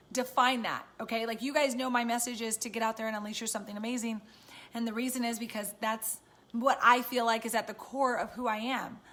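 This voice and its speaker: A woman with a raspy voice, chatting casually and encouragingly to viewers on a live stream from home. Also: on the high side, sharp tone. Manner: chatty and encouraging, many fillers. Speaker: live-stream host and business coach.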